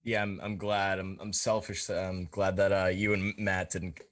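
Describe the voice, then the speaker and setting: A man's voice: deep voice, flat delivery, measured pace. A man co-hosting a podcast, talking in a casual, rambling, informal way. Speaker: podcast co-host.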